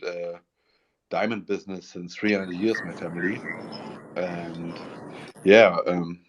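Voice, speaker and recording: A man with a German accent, a guest in an online audio room, talking haltingly as he searches for English words. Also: sits low. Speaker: guest, project founder. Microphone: online voice call. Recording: muffled online voice call.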